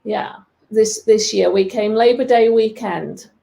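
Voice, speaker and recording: A woman's voice: medium pitch, unhurried, gravelly, warm tone. An older woman with an English accent, chatting playfully as a guest over a video call from her sewing room. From England. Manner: playful, self-mocking. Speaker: guest quilting teacher. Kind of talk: casual chat.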